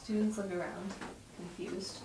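A woman's voice: medium pitch, level tone, measured pace. A young woman reading script stage directions aloud at a table read in a home dining room. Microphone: far camera. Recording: roomy, distant mic, clear background noise.

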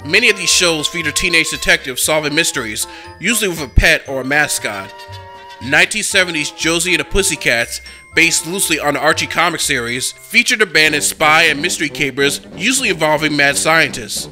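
A man's voice: on the high side, expressive, fairly quick, sharp tone, soft background music. A man narrating a cartoon history documentary in a scripted, enthusiastic fan's manner. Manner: enthusiastic, fan-like.

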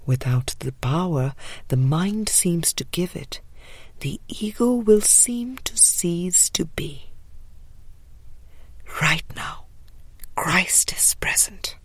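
A woman narrates a spiritual audiobook in a low, husky, breathy voice. She reads slowly and gently, in a measured, meditative way, letting phrases fall away into near-whispers. The sound is close and dry. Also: no room sound. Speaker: audiobook reader.

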